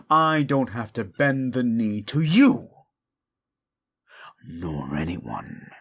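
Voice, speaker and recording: Man acting a gravelly, deep-voiced haughty demon. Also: fairly bright tone, heavily acted. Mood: defiant, scornful. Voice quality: gravelly, creaky low voice. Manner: theatrical, archly formal, taunting. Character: male demon, fallen angel, haughty, grudging, seductive tsundere. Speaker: audio roleplay performer. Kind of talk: intimate second-person audio roleplay acting.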